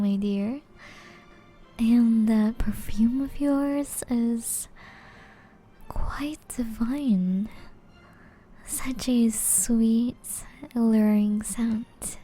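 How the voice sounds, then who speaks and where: A woman playing a flirty, elegant, ageless female vampire in an intimate ASMR roleplay, soft and often whispery, speaking in a refined, formal, teasing way. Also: fairly high pitch, rough recording. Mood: seductive.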